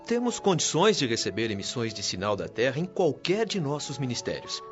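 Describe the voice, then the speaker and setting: A man's voice: mid-range voice, huge pitch swings, fairly quick. A Brazilian man playing a wise, patient spirit guide in a radio drama, speaking in a formal, earnest, didactic way. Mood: instructive. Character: wise, patient male spirit mentor of a heavenly colony. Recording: dull lo-fi audio with music underneath.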